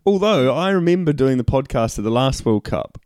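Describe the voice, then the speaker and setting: A man's voice: medium pitch, lively intonation, a little fast, darkish tone, no room sound. A man with a New Zealand accent co-hosting a podcast, dry and teasing, often answering briefly. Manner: dry, teasing, short replies. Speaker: podcast co-host. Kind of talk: casual rugby podcast chat.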